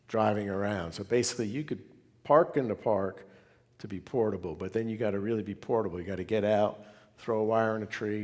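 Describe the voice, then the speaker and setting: A man's voice: lowish voice, a little mellow. A man giving casual, plain-spoken, somewhat hesitant answers as the presenter of an informal club talk. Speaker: presenter taking questions. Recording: echoing hall with long reverb.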